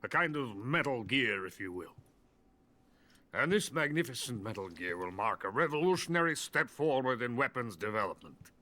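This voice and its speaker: An actor playing a drunk, boastful older Soviet weapons scientist in a video game cutscene. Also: male voice, medium pitch, very animated, normal speed, bright, forceful tone. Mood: bitter, scheming. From Soviet Union. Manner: pompous, self-important, bitter.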